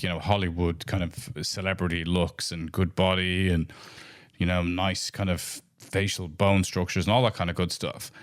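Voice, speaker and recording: A man's voice: low-pitched, no echo, studio quality. A man with an Irish accent co-hosting a podcast, talking in a reflective, thoughtful way with frequent restarts. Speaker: podcast co-host. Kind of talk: casual podcast chat.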